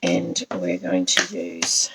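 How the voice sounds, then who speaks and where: A New woman with a croaky voice from a cold, chatting as she demonstrates a papercraft card on a live stream and greets viewers by name. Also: a bass voice, monotone, slow pace, very noisy. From New Zealand.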